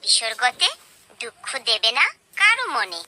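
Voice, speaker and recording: Very bright, high, singsong woman teaching children. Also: very animated, no room sound. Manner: singsong, deliberate enunciation for small children. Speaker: children's alphabet rhyme presenter. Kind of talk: children's nursery rhyme recitation.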